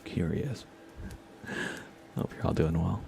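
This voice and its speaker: Man, low-pitched, soft-spoken and whispery, creaky fry, casual ASMR game streamer. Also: takes it slowly. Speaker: livestream host. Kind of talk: ASMR gaming stream, hushed casual chat. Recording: faint computer fan noise. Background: computer fans, game ambience.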